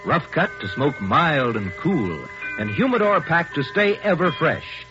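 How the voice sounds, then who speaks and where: A man's voice: on the high side, sing-song, unhurried. An American man delivering a polished, emphatic 1940s radio advertisement as commercial announcer. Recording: dull old radio recording, music behind.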